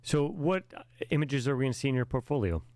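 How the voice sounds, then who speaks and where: A man's voice: medium pitch, expressive, fast talker, a little mellow, no room sound. Man asking encouraging interview questions. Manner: encouraging, asks questions with restarts.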